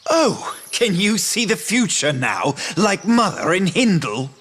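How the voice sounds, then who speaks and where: An actor playing a bitter, proud young outcast brother in a fantasy game, scornful and dramatic with archaic phrasing. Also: male voice, high voice, sing-song, slow, fairly bright tone, breathy edge, exaggerated voice.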